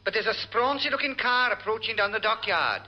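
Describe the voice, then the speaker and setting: An actor playing a cheeky, garrulous Welsh naval lookout in a classic radio sitcom, self-satisfied and sing-song. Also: male voice, sits high, animated, a little fast, muffled recording. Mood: chatty, smug.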